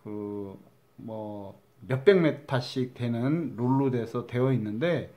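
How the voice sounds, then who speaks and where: A man's voice: mid-range voice, takes it slowly, dark tone. Chatty Korean man with a Chungcheong accent. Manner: chatty, repeats key words, drops to a mutter while measuring. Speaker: crafts teacher. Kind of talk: step-by-step craft demonstration.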